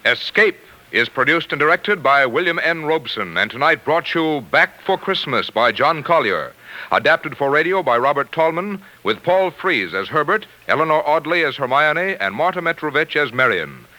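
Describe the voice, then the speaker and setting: A man's voice: a little high, sing-song, normal speed, bright, forceful tone, poor audio. A man announcing formally in the style of 1940s network radio. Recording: 1940s radio broadcast over music. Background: music.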